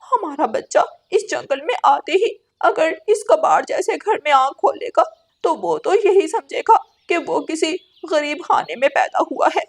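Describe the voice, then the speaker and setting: A woman's voice: high voice, very animated, measured pace, vocal fry, full character voice. A woman voicing a long-suffering crow wife and mother in a moral-story cartoon, plaintive and given to sarcasm. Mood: aggrieved.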